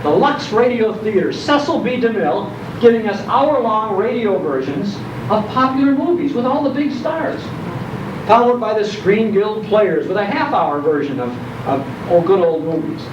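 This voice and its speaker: High-voiced older man speaking in an echoey hall. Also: normal speed. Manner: animated storytelling, repeats phrases for emphasis. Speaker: speaker on old-time radio history. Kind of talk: nostalgic talk to a live audience. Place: indoor program venue, recorded from afar. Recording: dull, distant, echoey hall recording, loud noise.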